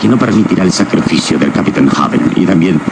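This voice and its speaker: An actor playing a wise, heroic adventurer in a radio drama, explaining things to his companion in a solemn, deliberate way. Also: low-pitched, lively intonation, vocal fry. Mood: grave, solemn. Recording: very noisy old radio drama recording.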